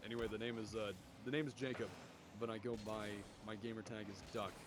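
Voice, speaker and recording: A young man with a deep voice streaming esports commentary from home, chatting with viewers and calling plays. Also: steady tone, brisk, noisy background. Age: young adult. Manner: rambling, chatty, restarts often, bursts louder on goals. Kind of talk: casual solo game commentary and chat reading. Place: home room.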